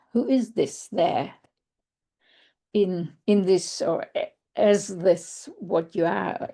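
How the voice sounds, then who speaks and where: A woman with a German accent, a non-native English speaker, giving an online conference presentation in a halting, thoughtful way, with many fillers and restarts. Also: fairly low pitch, vocal fry, warm tone, husky. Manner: thoughtful, searching for words. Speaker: researcher presenting.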